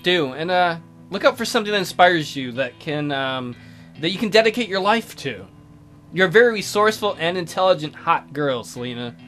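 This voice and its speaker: An apologetic male schoolteacher in a visual novel, read out by a man, speaks haltingly and awkwardly. The voice is slightly high and moves freely in pitch. Faint music plays underneath. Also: lively intonation, a bit bright. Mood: awkward, encouraging. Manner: hesitant, halting, casually read. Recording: music behind the voice.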